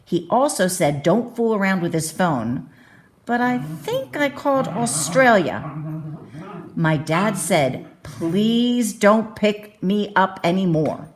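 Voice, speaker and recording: A woman's voice: mid-range voice, expressive, takes it slowly. A woman reading a children's bedtime story aloud expressively, stretching key phrases with dramatic emphasis.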